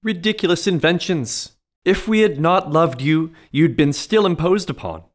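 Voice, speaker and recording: Higher-voiced scornful gentleman played by a man. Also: lively intonation, moderate pace, lightly acted. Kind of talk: period stage comedy audio play.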